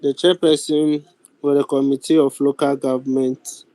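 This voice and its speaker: A man with a Ghanaian accent chairing a youth parliament sitting in a formal, deliberate, halting way on a video call. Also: mid-range voice, little pitch movement, warm tone, dry recording, rough recording. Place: virtual meeting over video call.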